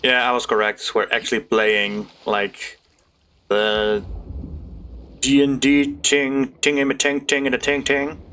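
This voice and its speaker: Slow, playful game streamer. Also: medium pitch, little pitch movement, a bit bright, a little hiss or hum. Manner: rambling, playful, sing-song asides. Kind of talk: casual gameplay commentary. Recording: faint game music behind.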